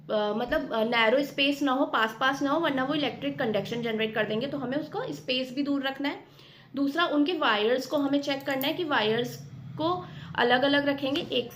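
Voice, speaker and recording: A woman's voice: high voice, slight background noise. A woman with an Indian accent giving an informal explanatory lecture as a teacher, mixing in English technical terms and often checking in. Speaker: physiotherapy teacher.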